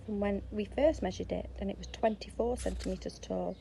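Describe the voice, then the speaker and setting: A woman with a soft-edged, mellow voice at a middle pitch addresses young children like a nursery teacher at story time. She explains things simply and stresses key words, with faint noise in the background. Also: steady tone, moderate pace, vocal fry, low-quality recording. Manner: simple, explanatory, with questions and emphasis. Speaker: early years teacher and story reader. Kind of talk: speaking to young children, reading a picture book.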